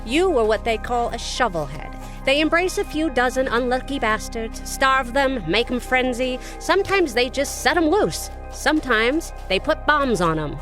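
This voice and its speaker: Actress as streetwise female vampire, audio drama, blunt, slangy, animated intonation, faint music underneath. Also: high-pitched, normal speed, hardly any pauses. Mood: wry, explanatory. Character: Grace, twisted-faced female Nosferatu vampire, brash, streetwise.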